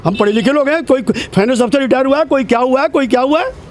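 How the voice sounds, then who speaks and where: A man's voice: very high voice, quick pace. Indignant man in his seventies. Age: 70s. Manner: indignant, insistent, repeats himself. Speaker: protest spokesman. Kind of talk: street interview. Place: outdoors at a protest.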